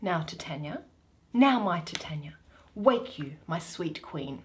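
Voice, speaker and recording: A woman voicing a tender, commanding male fairy king in measured, declamatory Shakespearean verse. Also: sits low, animated, slight background noise, pitched below the performer's own voice.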